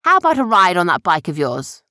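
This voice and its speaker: A playful young boy, played by a woman puts on his pirate act for a storybook. The delivery is mock-fierce and theatrical, with lively swings in pitch. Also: brisk, dry recording, clean studio sound. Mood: scheming.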